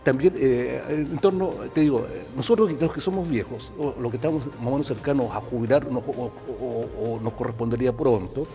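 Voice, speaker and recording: A man's voice: medium pitch, brisk, few pauses, soft background music. A Chilean man in his sixties, a TV host chatting casually, playful and joking. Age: 60s. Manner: playful, jokey, animated. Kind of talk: casual studio chat.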